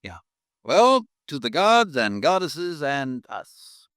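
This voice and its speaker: A man's voice: fairly high pitch, big pitch swings, takes it slowly, a bit bright, no room sound, full character voice. A breezy, easygoing male office manager who jokes his way through business talk. Dry, close studio sound from an old radio play. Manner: breezy and joking. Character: easygoing joking male branch insurance manager. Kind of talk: 1950s radio drama acting.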